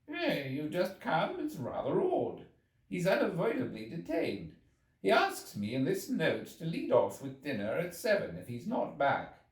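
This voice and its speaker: An excitable gentleman doctor from a storybook, acted out by a male narrator, pitched well above a normal male voice. He exclaims in drawn-out, astonished phrases, swooping widely, in a roomy space off the mic. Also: far from the mic. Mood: flustered, explaining. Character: excitable medical man, dinner guest, shrill and astonished. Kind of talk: dramatised audiobook character voice.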